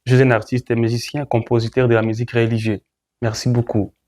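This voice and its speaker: A man's voice: mid-range voice, flat delivery, fast talker, a little mellow. A young man with a Congolese French accent answering interview questions, often repeating words and phrases. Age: young adult. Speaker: guest, gospel musician.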